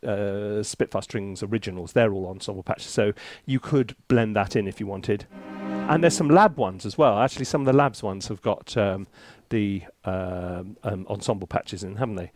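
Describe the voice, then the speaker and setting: A man's voice: fairly low pitch, normal speed, soft background music. A British man presenting a casual, chatty tutorial with jokey, theatrical asides, often interrupting himself. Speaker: composer reviewing music software, presenter.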